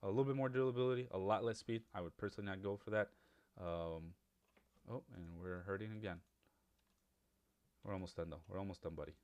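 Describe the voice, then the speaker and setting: A man's voice: low-pitched, steady tone, fast talker, long pauses, a little mellow, muffled recording. Casual rambling man, Spanish native speaker. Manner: rambling, many fillers and restarts. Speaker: gaming YouTuber. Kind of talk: casual game commentary.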